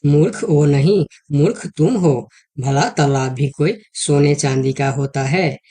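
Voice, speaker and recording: A man's voice: on the high side, unhurried, mellow. A man voicing a cautious, caring deer who advises a friend in a children's cartoon story. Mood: firm, advising. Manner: concerned, advising.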